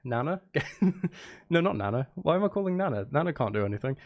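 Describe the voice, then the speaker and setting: Animated, gravelly man chatting on a livestream. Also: fairly high pitch, brisk, lo-fi sound. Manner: playful, rambling, self-interrupting, often repeating phrases. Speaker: live streamer, music and game maker. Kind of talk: casual livestream chat with viewers while working.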